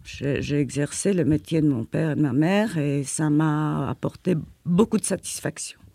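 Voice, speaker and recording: Older woman, non-native French, former journalist looking back; gravelly, halting delivery. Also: sits low, warm tone, dry recording. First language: not French. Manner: hesitant, many fillers and repeated words. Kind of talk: reflective interview answers.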